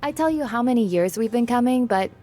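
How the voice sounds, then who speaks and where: A woman voicing a courteous, gently teasing older dance hall regular in a video game. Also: fairly high pitch, animated, fast, faint music behind, lightly acted. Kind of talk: video game character acting.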